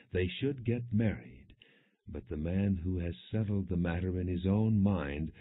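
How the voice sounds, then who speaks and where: A man narrating an audio Bible in a measured, solemn, non-dramatized reading, with muffled, narrow sound. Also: low-pitched, husky, no echo.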